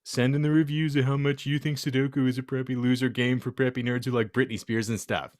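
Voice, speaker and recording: A man's voice: mid-range voice, flat delivery, fast, a little mellow, no echo, clean studio sound. A man hosting a comedy podcast, reading fan fiction aloud in a profane, mock-exasperated way between casual chat. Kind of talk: comic dramatic reading mixed with casual chat.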